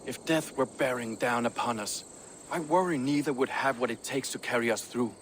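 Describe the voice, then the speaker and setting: An actor playing a proud, ambitious but loyal Viking shipbuilder, speaking in a measured, earnest way for a video game. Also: male voice, mid-range voice, fairly bright tone, slight background noise, natural voice. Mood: frank, proud. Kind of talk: dramatic video game cutscene dialogue.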